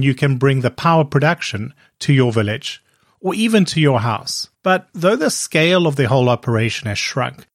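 A man's voice: mid-range voice, no echo, clean studio sound. A man hosting a podcast, reading a polished opening and then asking curious, articulate questions. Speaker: podcast host. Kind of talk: scripted intro and interview questions.

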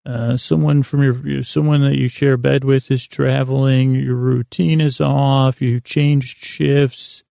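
A man hosting a bedtime podcast in a gravelly, creaky voice, rambling in a halting, meandering, gently silly way and often interrupting himself. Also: mid-range voice, little pitch movement, no room sound, clean studio sound. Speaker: podcast host.

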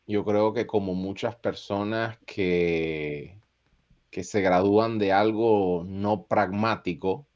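Slow, very deep-voiced Costa Rican man. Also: fairly even intonation, darkish tone. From Costa Rica. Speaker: podcast guest, content creator. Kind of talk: casual autobiographical conversation. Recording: dull, muffled lo-fi audio.

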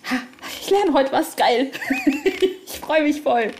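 A woman's voice: sits high, wildly swooping pitch, slow pace, slight background noise. A woman hosting a podcast, interviewing in a casual, chatty and enthusiastic way. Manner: enthusiastic, informal.

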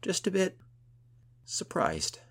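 A man voicing a modest, reserved woman traveller in an audiobook, with husky, understated, brief replies. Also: high voice, huge pitch swings, slow, fairly bright tone, voice pushed up, exaggerated voice. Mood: shaken, subdued. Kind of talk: audiobook character dialogue.

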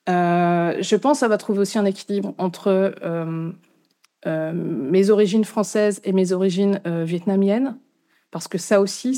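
A woman's voice: mid-range voice, mellow. A woman musician being interviewed, speaking thoughtfully and hesitantly with many fillers. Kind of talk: casual interview talk.

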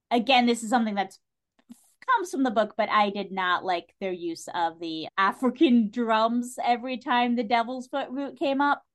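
A woman in a casual, opinionated discussion as a podcast guest, speaking with emphatic enthusiasm. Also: fairly high pitch.

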